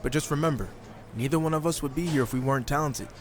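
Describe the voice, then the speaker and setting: A man's voice: on the high side, fast, a little hiss or hum, lightly acted. A man playing a confident, dryly teasing indie wrestler turned new recruit in a video game cutscene. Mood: friendly, teasing.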